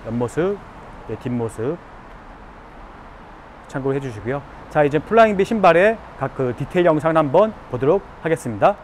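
Higher-voiced man reviewing outdoors. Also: lively intonation, brisk, long pauses, a little mellow, slight background noise. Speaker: fashion review YouTuber. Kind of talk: presenting a product review to camera. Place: outdoors by a concrete wall on an urban street.

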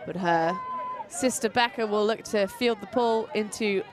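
A woman giving relaxed, playful live play-by-play commentary at an outdoor tournament. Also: medium pitch, a little slow, a crowd in the background, noisy background.

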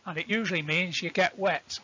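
A man giving an explanatory lecture as an instructor, deliberate and didactic, defining terms as he goes. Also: high voice, fairly even intonation, bright, forceful tone, breathy edge, lo-fi sound. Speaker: instructor presenting a sailing tutorial.

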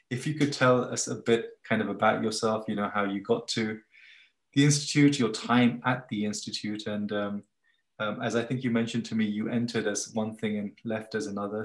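A man hosting an online interview, speaking hesitantly with many pauses and restarts. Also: fairly low pitch. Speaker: interview host at the institute. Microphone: video call. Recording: echoey room.

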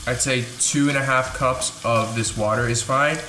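A young man talks his way through cooking in a home kitchen, loose and self-mocking as he goes. His voice sits mid-range and bounces around a roomy, echoey space. Loud sizzling from the pan runs under everything he says. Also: mid-range voice, steady tone, moderate pace. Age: young adult. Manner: chatty, self-deprecating, thinks aloud while cooking. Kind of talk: casual cooking vlog. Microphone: camera mic at a distance. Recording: echoey kitchen with frying noise. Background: sizzling pan and background music.

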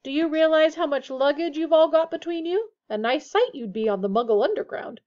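A scolding storybook mother, performed by a woman reader. Her voice is shrill and high, with a brisk, snappish edge. The recording sounds slightly muffled. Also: big pitch swings. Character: brisk, scolding, proud middle-aged mother of big family.

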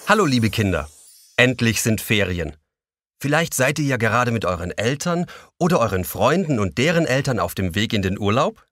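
A man narrating a children's audio play, expressive and speaking directly to young listeners. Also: mid-range voice, normal speed, no room sound.

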